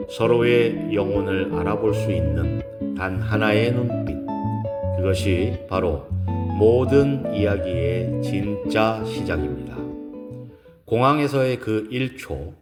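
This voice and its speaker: A man with a fairly low voice reads a scripted romance story. His delivery is slow and solemn, with measured pauses between phrases, in the manner of dramatic audiobook storytelling.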